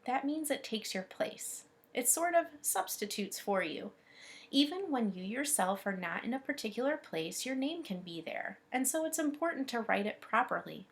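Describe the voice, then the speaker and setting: A woman's voice: on the high side, very animated, moderate pace. A woman reading the part of a kindly, precise first-grade schoolteacher, patient and explanatory. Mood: patient, instructive.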